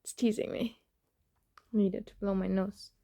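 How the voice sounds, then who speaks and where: A woman's voice: mid-range voice, fairly even intonation, moderate pace, gravelly, warm tone. A woman with a stuffed-up, sniffly nose, talking casually into her phone at home and murmuring breathily between sneezes. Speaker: vlogger. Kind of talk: casual self-recorded vlog.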